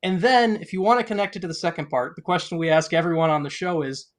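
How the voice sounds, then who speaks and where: Man, podcast co-host, rapid casual interviewer, higher-than-average voice.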